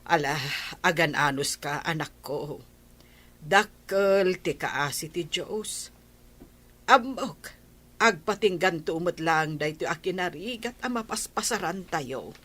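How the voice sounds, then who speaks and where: A woman voicing an older, devout grandmother who gives her daughter patient advice, with a husky voice. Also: lowish voice, expressive, slow, a bit bright, full character voice. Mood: soothing.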